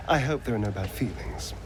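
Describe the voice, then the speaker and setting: An actor playing a sly, theatrical vampire spawn in a video game, coaxing and dryly wry. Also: male voice, on the low side, huge pitch swings, normal speed, creaky, slight background noise, heavily acted, poor audio. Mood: wry, musing. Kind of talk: dramatic video game dialogue acting.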